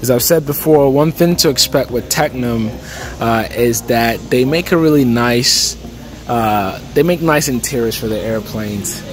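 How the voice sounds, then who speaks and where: A man's voice: medium pitch, measured pace. Chatty man touring a plane. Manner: relaxed, chatty, lots of "okay" and "uh". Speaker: aviation vlogger. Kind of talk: casual walkaround tour. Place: large aircraft hangar at an expo, indoors. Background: music and crowd chatter.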